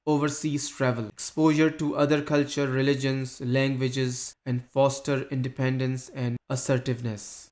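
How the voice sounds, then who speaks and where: Male host, monotone delivery, reads site text then chats to viewers. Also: medium pitch. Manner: steady reading voice with casual asides to viewers. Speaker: channel host. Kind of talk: reading a web page aloud with commentary.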